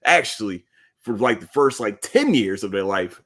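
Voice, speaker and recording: A man in his thirties hosting a podcast, talking casually and jokingly in a rambling, animated way. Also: on the low side, normal speed. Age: 30s. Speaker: podcast host. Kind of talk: casual solo podcast monologue.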